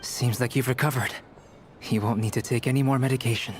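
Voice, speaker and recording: A man voicing a calm, courteous young alchemist scholar in a video game, speaking precisely and formally. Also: mid-range voice, a little fast, slight background noise, lightly acted. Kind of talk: video game voice acting, measured explanation. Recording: music behind voice.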